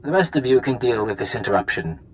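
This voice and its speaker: A man's voice: medium pitch, animated, fast talker, slight background noise, natural voice. Man playing smug, swooping-voiced villain. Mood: mocking menace. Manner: theatrical, urbane, condescending. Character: smug, mocking male corporate villain. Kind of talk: video game villain dialogue.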